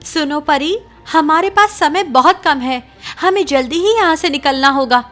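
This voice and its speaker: A woman's voice: sits high, measured pace, fairly bright tone, faint music behind, voice pushed up. A woman voicing a brave, determined young princess in a cartoon story, speaking firmly and earnestly. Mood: defiant. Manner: firm, earnest pleading.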